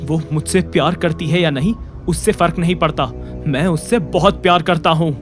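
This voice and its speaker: A man's voice: on the high side, steady tone, moderate pace, soft background music, natural voice. A man playing a defiant, proud young husband in an audio drama, with a sarcastic edge. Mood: fierce, determined. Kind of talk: Hindi audio drama acting.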